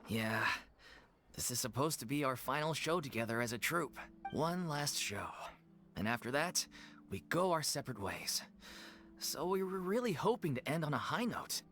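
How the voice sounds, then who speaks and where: A man's voice: mid-range voice, very animated, moderate pace, fairly bright tone. An actor playing an earnest, apologetic male leader of an amateur theater troupe in a game cutscene. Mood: wistful. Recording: game audio with faint music.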